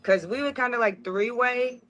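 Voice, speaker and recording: Bright, high-energy young woman recounting stories. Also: normal speed. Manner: rambling, slangy, frequent "like". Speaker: interview guest, former prison inmate. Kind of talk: candid conversational storytelling.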